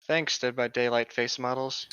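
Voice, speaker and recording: A man's voice: medium pitch, steady tone, normal speed, fairly bright tone, clean tone, no room sound. A man reading fan fiction aloud and chatting casually with friends, on a slightly dull microphone.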